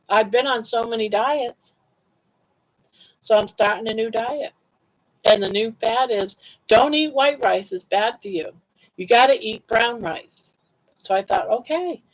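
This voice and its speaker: A woman's voice: a little high, poor audio. An older woman talking casually on a livestream, chatty and full of laughter as she tells stories. She often turns to answer comments from her viewers. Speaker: livestream host.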